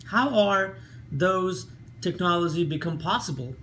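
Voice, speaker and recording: Earnest Nepali-accented man, high voice. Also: animated, fairly bright tone, a little hiss or hum. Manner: earnest, careful reading with pauses and small slips. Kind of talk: read-aloud video talk.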